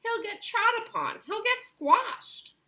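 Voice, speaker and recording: A woman voicing a fussy, exasperated father in a storybook reading, blustering and loud. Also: very high-pitched, animated, slow pace, voice pushed up, heavily acted.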